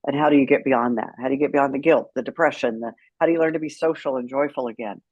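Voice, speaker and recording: A woman's voice: extremely low pitch, fast, darkish tone, no room sound, highs cut off. A woman speaking as an interview guest, telling her story in a candid, outspoken and emphatic way. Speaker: guest, author and caregiver advocate.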